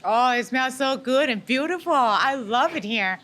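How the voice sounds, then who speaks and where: A woman's voice: high voice, lively intonation, measured pace, fairly bright tone. A woman from Thailand with a Thai accent, chatting casually and candidly as an interview guest. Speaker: interview guest, food maker. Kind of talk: casual chat. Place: indoor farm among herbs and flowers. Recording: clear background noise.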